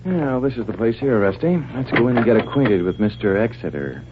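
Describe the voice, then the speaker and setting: An actor playing a composed, inquisitive doctor-detective with a measured, authoritative manner in an old-time radio drama. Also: male voice, medium pitch, expressive, music in the background, close to an everyday voice. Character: composed, inquisitive male doctor and criminal sleuth. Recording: dull, hissy vintage radio recording.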